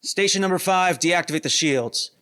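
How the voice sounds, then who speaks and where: A man playing an easygoing but hesitant space security officer in an audio drama, speaking casually and haltingly. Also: high voice, pushed and bright, a little hiss or hum. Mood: casual, brisk.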